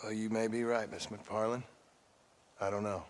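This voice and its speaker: An actor playing a laconic, polite, world-weary American frontier gunslinger in a video game, terse and dry. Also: male voice, lowish voice, little pitch movement, moderate pace, slight background noise, natural voice. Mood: dry, resigned. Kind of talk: video game cutscene acting.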